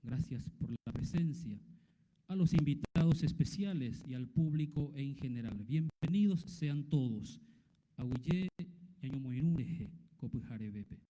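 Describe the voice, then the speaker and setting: A man, the master of ceremonies, announcing a formal ceremony in brisk, formal phrasing. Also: mid-range voice, expressive, mellow. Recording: echoey hall.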